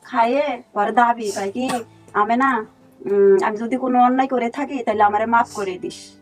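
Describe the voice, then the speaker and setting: Bangladeshi woman, higher-pitched, recounting personal story. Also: steady tone, normal speed, faint music behind. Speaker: guest, relative of another guest.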